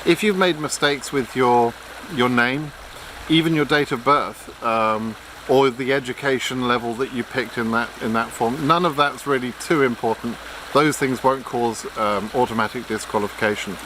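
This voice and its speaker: A man's voice: mid-range voice, normal speed, background noise. A man giving blunt, frank advice in an unscripted explainer, lecturing with frequent ums and restarts. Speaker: immigration advice vlogger.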